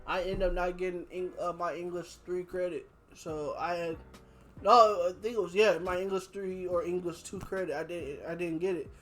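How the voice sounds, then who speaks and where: A young man chatting casually as a podcast host, telling anecdotes in a slangy, animated way. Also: sits high, normal speed, faint music behind. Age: young adult. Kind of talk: casual chat, storytelling.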